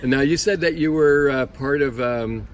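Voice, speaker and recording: A man's voice: mid-range voice, normal speed. A man hosting a casual travel vlog outdoors, rambling and hesitant with many fillers as he interviews a passer-by. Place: outdoors on a city street by a building. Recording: outdoor street recording with clear traffic noise.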